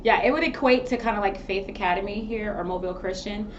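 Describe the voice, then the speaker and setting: A woman's voice: mid-range voice, fast. Laughing chatty woman from new york, haitian creole first language, far off mic, kinda muffled. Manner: lively, laughs often, animated storyteller. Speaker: guest, makeup artist. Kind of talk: casual interview chat. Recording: distant mic, dull sound, room noise.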